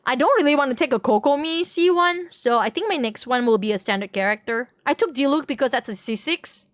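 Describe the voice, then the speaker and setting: A woman's voice: high voice, animated, a little fast, no echo, studio quality. A woman livestreamer chatting casually with viewers in a rambling, slangy way, often repeating her phrases. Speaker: game livestreamer. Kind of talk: casual stream chat with viewers.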